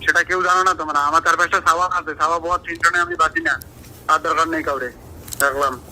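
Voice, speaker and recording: Man, very piercing bright voice, high pitch, regional Bengali accent, impatient, noisy phone line. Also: fairly even intonation, fast. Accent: Bengali regional dialect. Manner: brusque, impatient, repetitive questioning. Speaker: prank call recipient. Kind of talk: irritated phone conversation.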